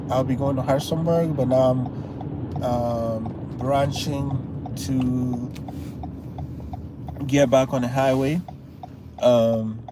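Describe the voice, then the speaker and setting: A man with a Kenyan accent chats to his viewers from behind the wheel, loose and rambling, leaning on fillers as he goes. His voice sits at a middle pitch. Loud road and engine noise runs under everything he says. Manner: relaxed, rambling, with many fillers. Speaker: livestream host. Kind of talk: casual live driving commentary. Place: inside a car on a highway.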